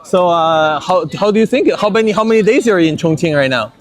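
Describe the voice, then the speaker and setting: A man's voice: high voice, lively intonation, fast. A man with a Chinese accent chatting casually with tourists on a busy street as a travel vlogger, friendly and repeating his words. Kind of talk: casual chat. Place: busy street by a metro station.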